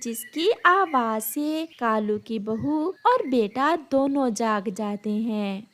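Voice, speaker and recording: A woman's voice: fairly high pitch, animated, slow pace, fairly bright tone. A woman narrating a scripted cartoon story as its storyteller.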